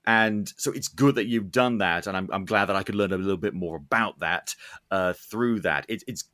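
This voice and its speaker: A man hosting a casual podcast interview, talking in an earnest, rambling, animated way. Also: lowish voice, normal speed. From Scotland, UK. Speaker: podcast host. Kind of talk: casual interview chat, opinionated.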